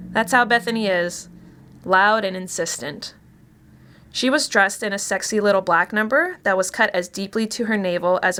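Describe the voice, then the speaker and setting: A woman's voice: medium pitch, bright, forceful tone, lightly acted. An actress playing a wry, chatty woman past thirty, telling her story as a confiding first-person monologue. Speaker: actor reading a short story.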